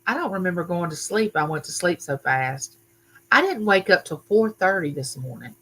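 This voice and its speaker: An older woman, hosting a casual live chat from her home, talking loudly and chattily and greeting viewers by name. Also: fairly low pitch, expressive, moderate pace, husky, poor audio. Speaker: livestream host. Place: home room used for filming.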